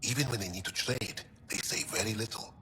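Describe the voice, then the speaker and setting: A man's voice: on the low side, brisk, pushed and bright, a little hiss or hum. An actor playing an earnest, thoughtful male alien scientist in a video game, explaining things a little hesitantly. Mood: hesitant, confiding. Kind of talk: video game dialogue acting. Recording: long reverb, music underneath.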